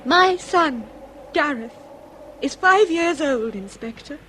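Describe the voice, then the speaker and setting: A woman's voice: high voice, lively intonation, slow, sharp tone, slight background noise, heavily acted. An actress playing an anguished, dignified aristocratic lady in a radio drama, with an English upper-class accent and formal, eloquent, theatrical delivery. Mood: resigned, grave.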